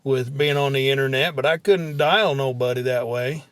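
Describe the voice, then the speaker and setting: A man's voice: medium pitch, moderate pace, fairly bright tone, clear, resonant tone, no echo. A man, hosting a comedy podcast in casual, folksy banter with unpolished country grammar.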